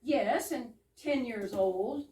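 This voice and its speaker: A woman's voice: on the high side, slow, warm tone. A woman playing a prim, scolding older sister in an amateur staged reading of a 1920s comedy, huffy and indignant. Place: live reading in a roomy indoor space. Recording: echoey room, distant mic.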